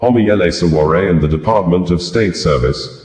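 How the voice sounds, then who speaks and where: A man's voice: low voice, normal speed, darkish tone, echoey room. A man reading scripted news reports as a newsreader. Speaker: news reader.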